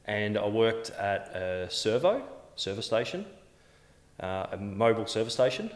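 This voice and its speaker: A man's voice: fairly low pitch, sing-song, measured pace. A man in his forties with an Australian accent, a guest telling his story in a candid, slangy, laid-back way. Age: 40s. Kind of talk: casual interview chat. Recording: echoey room with long reverb.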